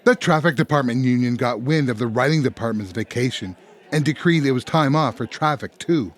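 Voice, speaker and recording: A man's voice: medium pitch, a little fast, close to an everyday voice. A man playing a grumpy, matter-of-fact bartender in a comedic audio drama, with a blunt manner.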